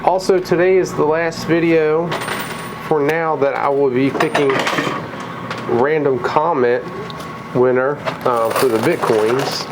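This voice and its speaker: A man with a cold, a little raspy and tired, chatting casually through a coin pusher vlog in an arcade. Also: on the high side, slow pace, noisy background. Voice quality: slightly mumbled from a lozenge. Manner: chatty, excitable at wins. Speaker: vlogger.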